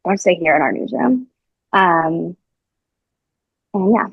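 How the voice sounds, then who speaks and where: A woman's voice: fairly low pitch, lively intonation, takes it slowly, husky, dry recording, muffled recording. A young adult woman reporter, a podcast guest, answering questions in a chatty way with many fillers and restarts. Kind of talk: casual interview answers.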